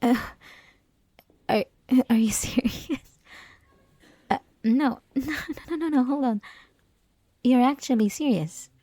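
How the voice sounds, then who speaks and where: A woman's voice: high-pitched, slow, pauses often, mellow, dry recording. A woman playing a flirty, possessive college classmate who teases the listener in an intimate, mostly whispered ASMR roleplay. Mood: incredulous. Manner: teasing, breathy, mostly whispered, giggly.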